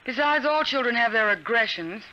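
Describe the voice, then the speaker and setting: A woman playing an indulgent, amused mother in a 1950s radio drama, gently teasing and placating. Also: a little high, animated, moderate pace, dull audio. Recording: vintage 1950s radio recording, faint noise.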